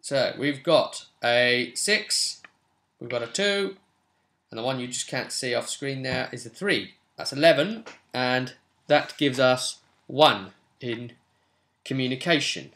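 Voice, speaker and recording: A young man with a crisp, bright voice at a medium pitch talks viewers through tabletop game rules as their game master. He is casual and chatty, slipping in dry little asides as he explains. He sounds like he is recording at home, a short way from the microphone. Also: lively intonation, takes it slowly. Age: young adult. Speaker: game master and channel host. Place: small furnished room at home, indoors.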